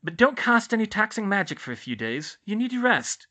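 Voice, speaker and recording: A woman's voice: mid-range voice, sing-song, fairly quick, bright, forceful tone, voice pushed up. A woman voicing a strict but caring, sardonic female school healer in a storybook. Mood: stern.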